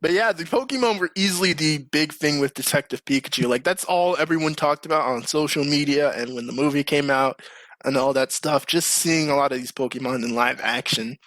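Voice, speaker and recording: A man's voice: fairly high pitch, a little fast, fairly bright tone, dry recording, low-quality recording. A man co-hosting a podcast in casual chat, with a giggly manner of talking. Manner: giggly, laughs often while talking. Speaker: podcast co-host. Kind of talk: casual chat about video game movies.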